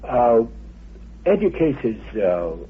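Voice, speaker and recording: A man giving an unscripted interview explanation in halting, deliberate phrases. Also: mid-range voice, very animated, a little hiss or hum. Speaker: interviewee recounting his father's teachings. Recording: muffled, band-limited old radio recording.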